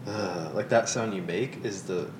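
A man's voice: measured pace, distant mic. A young man chatting as a podcast guest, laughing often and making self-deprecating asides. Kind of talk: casual chat. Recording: roomy sound, off-mic, rumbling noise.

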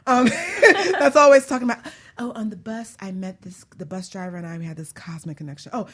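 A woman's voice: mid-range voice, fairly quick. A woman hosting a casual radio talk show in an informal, chatty, teasing way.

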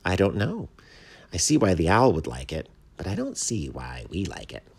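A small poet bat from a children's storybook, voiced by a man, gentle and earnest. The delivery is thoughtful and modest, with a husky edge and a very lively rise and fall. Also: sits low, normal speed, exaggerated voice. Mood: doubtful, thoughtful. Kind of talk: children's storybook read aloud.

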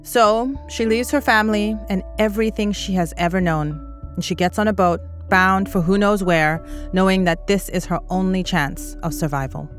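A woman from East Africa narrating a scripted documentary as host, in a measured, serious manner. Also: mid-range voice, fairly even intonation. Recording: music bed behind narration. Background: music.

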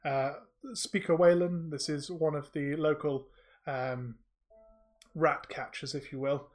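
A woman's voice: low-pitched, fairly even intonation, takes it slowly, darkish tone, faint music behind. A man voicing a female guard captain who speaks formally, as if giving a report.

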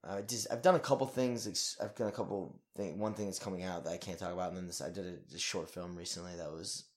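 A young man, an actor, talking in a casual interview in an energetic, rambling way with lots of fillers and swearing. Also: low-pitched, quick pace.

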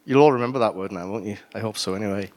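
A man's voice: on the low side, racing, a little mellow, slight background noise. Welsh male preacher with chatty asides. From Wales. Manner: conversational with asides and humor. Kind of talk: sermon.

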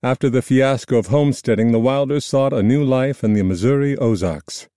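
A man reading scripted nonfiction narration in a measured, formal storytelling manner. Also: medium pitch, warm tone, clear, resonant tone, no echo, studio quality. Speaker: audiobook narrator.

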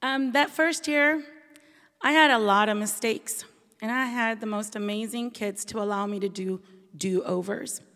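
Woman telling her story, kinda high voice, echoey hall sound. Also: fairly bright tone. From Venezuela. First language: Spanish. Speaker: bilingual teacher. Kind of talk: scripted personal storytelling. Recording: long hall reverb.

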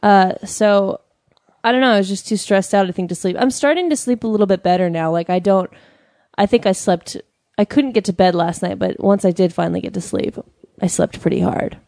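A woman's voice: mid-range voice, brisk, creaky, warm tone, no echo, studio quality. A young woman co-hosting a casual chat show, talking bluntly and wryly. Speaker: podcast co-host.

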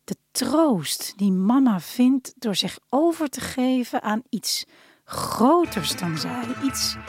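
Reflective woman narrating a radio play. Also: a little high. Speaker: narrator of an audio drama. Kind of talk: first-person dramatic storytelling. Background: music.

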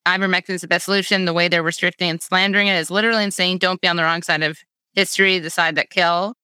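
A woman hosting an online talk show over a video call, reading viewer questions with sarcastic quick asides. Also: lowish voice, steady tone, pushed and bright, smooth, dry recording.

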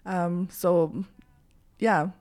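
A woman's voice: fairly low pitch, fairly even intonation, very slow, a little mellow. A woman co-hosting a podcast, talking earnestly and upbeat about what she saw reporting. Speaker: podcast co-host and field reporter. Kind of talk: casual podcast chat.

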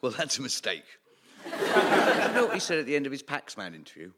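A man playing a smug, deadpan evil-genius ruler. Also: mid-range voice, a little fast, very noisy, voice pushed up. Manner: deadpan, rambling with stammered restarts and chuckles. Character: smug self-styled evil genius male world ruler. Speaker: comedian playing a new supreme commander of the world. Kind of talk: improvised comic interview in character. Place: theatre before a live audience.

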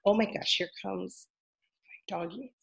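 Older woman, deep and gravelly, chatty interview guest, rambling with fillers. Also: measured pace, low-quality recording. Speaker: former wrestler, interview guest. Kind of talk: casual interview.

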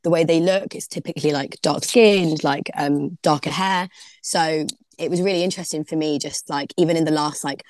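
A woman's voice: low-pitched, fairly even intonation, normal speed, darkish tone. A young woman, a DJ guest on a podcast, chatting casually and filling her talk with "like". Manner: chatty, heavy use of "like", laughs easily. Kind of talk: casual interview chat.

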